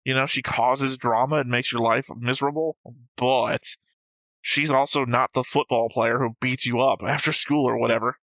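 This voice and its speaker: A man's voice: medium pitch, steady tone, normal speed, fairly bright tone, no echo, poor audio. A man co-hosting a reaction show, chatting casually in a blunt, argumentative, sweary way. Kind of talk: casual discussion of an anime episode.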